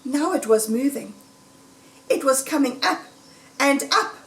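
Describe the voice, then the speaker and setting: A woman reading a children's story aloud in a deliberate, dramatic, suspenseful storytelling manner. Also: sits high, animated. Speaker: storybook reader. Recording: roomy echo, distant microphone.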